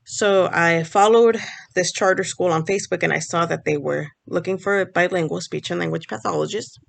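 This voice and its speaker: A woman's voice: on the low side, brisk, creaky. A woman whose first language is Spanish, talking casually to camera as a vlogger and explaining things in a conversational way. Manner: explanatory, conversational, restarts phrases. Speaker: vlogger and speech pathology grad student.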